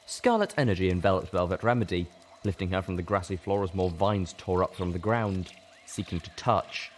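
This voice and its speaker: Deep-voiced man narrating a dramatic story. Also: fairly quick. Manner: intense, theatrical. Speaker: reader of a fan fiction story. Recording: clearly noisy background.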